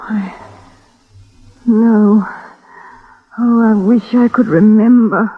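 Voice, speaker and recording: An actress playing an ailing, bitter, proud planter's wife in formal, measured 1950s radio drama style. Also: female voice, on the high side, steady tone, breathy edge, exaggerated voice. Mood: weary, resentful. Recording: muffled tinny old radio broadcast.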